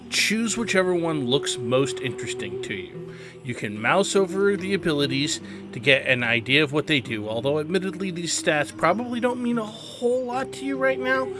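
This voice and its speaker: A man hosting a video game tutorial in a conversational, explanatory way, stressing key words for emphasis. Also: fairly high pitch. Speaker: game guide host. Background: background music.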